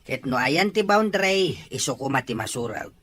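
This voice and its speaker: A man's voice: mid-range voice, big pitch swings, normal speed, fairly bright tone, a little hiss or hum. A man with an Ilocano accent playing a patient, fair-minded farmer father in an audio drama. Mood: firm, conciliatory. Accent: Ilocano, from the Philippines.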